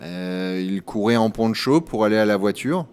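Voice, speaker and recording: A man's voice: lowish voice, lively intonation, moderate pace, a little mellow, clear, resonant tone, lightly acted. A man playing an easily rattled, sentimental travel companion in a comedic audio drama. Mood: sheepish.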